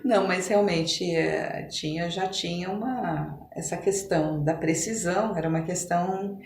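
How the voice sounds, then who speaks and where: Low-pitched Brazilian woman in an echoey room. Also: darkish tone. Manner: halting, reflective, often rephrasing. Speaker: podcast guest, physician. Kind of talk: conversational interview. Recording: echoey room with noticeable background noise.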